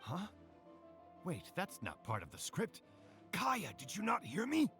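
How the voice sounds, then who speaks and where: A man's voice: high voice, wildly swooping pitch, measured pace, music in the background, exaggerated voice. An actor playing an earnest, anxious young theater man in a fantasy game, polite and eager in manner. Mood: urgent, confused. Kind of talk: animated fantasy video game dialogue.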